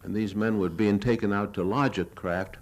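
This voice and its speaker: An older American man giving a lecture, narrating his own war film in a measured, plain-spoken, reminiscing way. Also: lowish voice, steady tone. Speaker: newsreel reporter giving a lecture. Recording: 1970s archival lecture audio recording.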